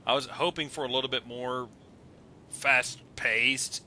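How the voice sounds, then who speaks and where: A man's voice: medium pitch, fairly even intonation, measured pace, bright, forceful tone, a little hiss or hum. A man on a voice call, casually giving his opinions on films as a guest commentator. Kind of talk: casual opinionated film discussion. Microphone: voice call on a computer.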